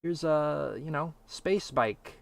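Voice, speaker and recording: A man's voice: a little high, slow, gravelly, a crowd in the background. A man hosting a casual podcast chat, wry and meandering, with a lot of fillers. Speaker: podcast host.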